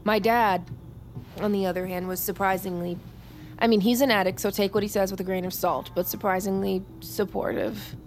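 A woman's voice: mid-range voice, steady tone, moderate pace, natural voice. An actress playing a stubborn, intense young female army private in a naturalistic audio drama. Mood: guarded, defiant. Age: young adult.

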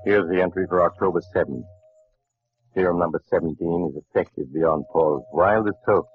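Actor as a deep, sinister old-radio host. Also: male voice, natural voice. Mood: grave. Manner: measured, ominous, theatrical. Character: sinister, courteous doctor host reading a secret journal. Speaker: narrator and host of a horror radio drama. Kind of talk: 1940s radio horror narration. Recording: muffled old radio recording with music.

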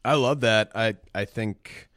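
A man with a very low voice speaks on a podcast, his voice rough and raspy. He talks haltingly and keeps restarting his words. The recording is dry and close. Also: a bit bright, no room sound. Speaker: prospect writer, co-host. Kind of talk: casual fantasy baseball chat.

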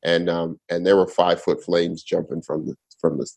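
A man's voice: deep voice, little pitch movement, normal speed, gravelly, a little mellow, breathy edge. A man telling a long personal story in a casual podcast chat, laid-back and rambling with restarts. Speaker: guest storyteller.

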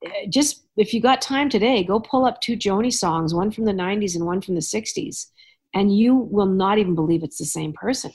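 A middle-aged woman from Canada hosting a relaxed podcast chat. She spins anecdotes with animated asides and easy laughter, at a medium pitch. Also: fairly quick, husky. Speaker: podcast host. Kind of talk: casual chat.

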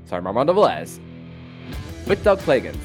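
A man's voice: medium pitch, wildly swooping pitch, normal speed, faint music behind. A man hosting a sports podcast, presenting in a conversational way as he addresses a guest or the listeners. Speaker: podcast host.

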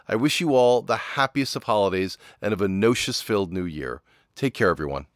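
A man's voice: fairly low pitch, animated, brisk, no echo, studio quality. A man hosting a podcast, delivering an upbeat scripted announcement to listeners. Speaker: podcast host.

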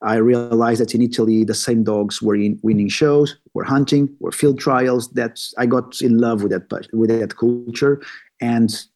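A man from Portugal with a Portuguese accent, answering interview questions in halting English as he searches for words. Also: medium pitch, steady tone, darkish tone. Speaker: podcast guest, bird hunter. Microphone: remote call.

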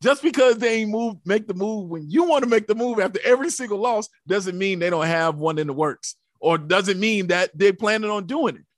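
A high-voiced man talks sports casually as a podcast co-host. He preaches his points with rhetorical questions and pet phrases, and the sound is close and dry. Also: brisk, hardly any pauses, no room sound. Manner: emphatic, preachy, rhetorical questions and repeated catchphrases. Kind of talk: casual sports fan commentary.

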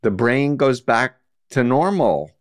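Smooth-voiced man hosting an interview. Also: medium pitch, lively intonation, takes it slowly. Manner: attentive, explanatory, drifts to advice. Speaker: podcast host. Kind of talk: interview conversation.

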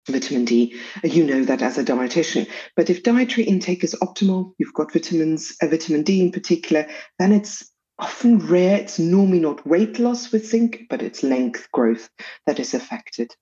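A woman's voice: lowish voice, dark tone, highs cut off. A woman with a South African accent, a pediatric dietitian answering interview questions and working through possible causes one by one. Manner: measured, lists factors one by one. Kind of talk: expert explaining in a podcast interview.

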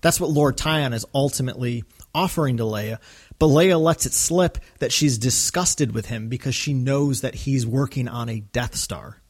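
A man co-hosting a casual discussion podcast, talking in a halting, enthusiastic fan's way. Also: mid-range voice, husky. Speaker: podcast co-host.

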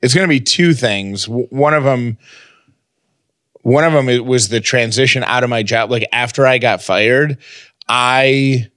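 A man's voice: mid-range voice, a little slow, fairly bright tone, no room sound, clean studio sound. A man co-hosting a podcast, chatting casually and personally. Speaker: podcast co-host. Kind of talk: casual banter and personal talk.

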